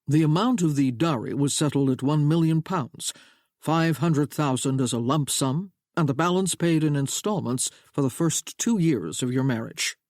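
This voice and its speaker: A man voicing an older American father with a booming drawl, proud and protective of his daughter. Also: moderate pace, darkish tone, no echo, studio quality, close to an everyday voice. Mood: fatherly.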